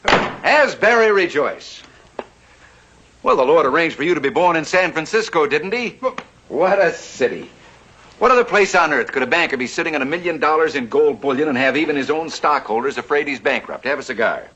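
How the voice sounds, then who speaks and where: A man's voice: on the high side, expressive, fairly quick, pushed and bright, breathy edge. Man playing a jovial flamboyant old-west banker. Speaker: host and actor. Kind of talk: vintage TV drama narration and acting. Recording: muffled old TV soundtrack with hiss.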